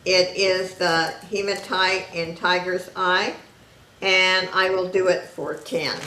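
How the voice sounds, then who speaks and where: Loud, slow-talking woman in echoey room. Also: lowish voice, fairly even intonation, slight background noise. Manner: loud, slow and deliberate, item by item. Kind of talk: casual live-stream selling.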